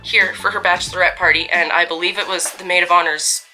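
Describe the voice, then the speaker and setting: A young woman from Canada, just getting over a cold, chats through a story-time vlog with wry, self-mocking humor. Her voice sits a little low but sounds very bright and forceful. Faint music runs underneath. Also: fairly even intonation, fast talker, low-quality recording. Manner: chatty, wry and self-deprecating. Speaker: vlogger telling a story.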